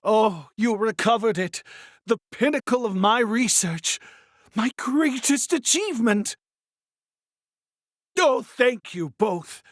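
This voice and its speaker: A fussy, earnest astronomer in a game. His voice is high and swoops about theatrically, with flowery, precise phrasing delivered at an unhurried pace. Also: lively intonation, dry recording, clean studio sound, heavily acted. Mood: elated, grateful. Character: excitable, earnest, fussy male scholarly astronomer. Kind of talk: dramatic video game voice acting.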